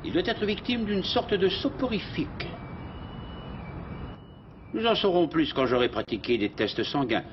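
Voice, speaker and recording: A man's voice: a little high, lively intonation, a little slow, long pauses, muffled recording, background noise. A man playing a learned cartoon professor who explains things precisely and methodically. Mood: analytical. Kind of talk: French cartoon dub acting.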